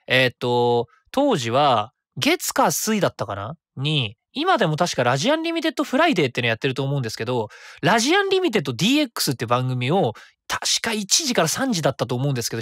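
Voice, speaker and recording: A man around forty, hosting his own web radio show in a chatty, rapid way that stays easy to follow. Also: a little high, huge pitch swings, fairly bright tone, no echo, studio quality. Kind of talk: casual solo radio talk.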